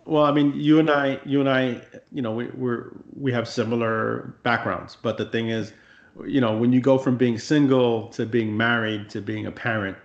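A man interviewing a guest in a casual conversation, reflective and relaxed, with frequent fillers. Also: medium pitch, normal speed, roomy sound, dull audio.

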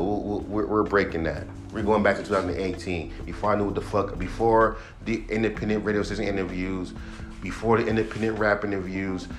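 A man in his thirties hosting his own podcast, a rapper ranting bluntly about music with heavy swearing and a voice he calls monotone. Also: on the low side. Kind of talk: profane casual rant.

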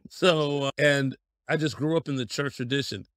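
A middle-aged man of average pitch, a pastor from Colorado, tells his life story as an interview guest. His delivery is folksy and easygoing, breaking into laughter. The sound is dry and close to the mic. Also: fairly even intonation, moderate pace, fairly bright tone, dry recording. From Denver, Colorado. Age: 50s.

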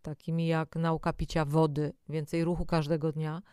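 A woman with a clear, fairly low voice presents in a conversational but scripted style. She talks directly to the listener and explains as she goes. The sound is close and dry, free of room echo. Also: flat delivery, normal speed, warm tone. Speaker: presenter of an audio health programme.

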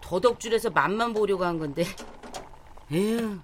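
A woman's voice: medium pitch, moderate pace, slight background noise, close to an everyday voice. An actress playing a headstrong older mother who brushes off her daughter and scolds her bluntly. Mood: brushing off. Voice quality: brassy, scolding. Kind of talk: TV drama acting.

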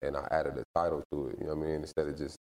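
A man with a deep voice and an American accent, a recording artist chatting as a guest in a laid-back, slangy way. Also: level tone, very fast, a little mellow, recorded from a distance. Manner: laid-back, slangy, lots of "you know what I mean". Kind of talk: casual interview chat.

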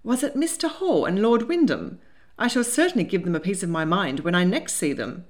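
A woman's voice: fairly low pitch, big pitch swings, brisk, darkish tone. A woman voicing a spirited, polite young society lady in a storybook, proper and earnest. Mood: indignant, vexed. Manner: proper, earnest, indignant when teased.

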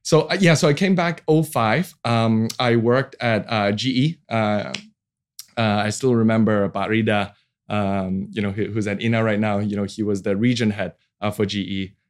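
A man in his forties from Hong Kong speaks as a guest in a casual interview. His voice sits fairly low, and he feels his way through sentences, often dropping in "you know" as he goes. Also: normal speed, smooth. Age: 40s. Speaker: podcast guest, venture capital founder. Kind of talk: casual interview talk about own career.

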